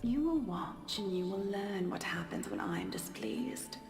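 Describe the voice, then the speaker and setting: A woman's voice: mid-range voice, huge pitch swings, a little slow, music in the background. A woman voicing a cruel, imperious noblewoman, commanding and menacing, in a visual novel game. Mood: cold menace. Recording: long echoing reverb.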